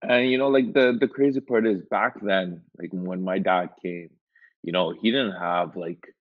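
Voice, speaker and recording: A man from Iran, a guest in a casual interview, telling his story in a relaxed, rambling way with lots of filler words. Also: low-pitched, normal speed. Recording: dull lo-fi sound.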